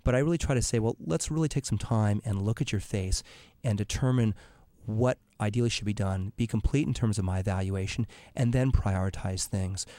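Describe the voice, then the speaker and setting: Raspy, hoarse man explaining in a dry studio. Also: fairly low pitch, brisk, warm tone, no room sound. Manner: explanatory, many fillers and restarts. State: hoarse from laryngitis. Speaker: dermatologic surgeon, radio show guest expert. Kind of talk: call-in radio advice show.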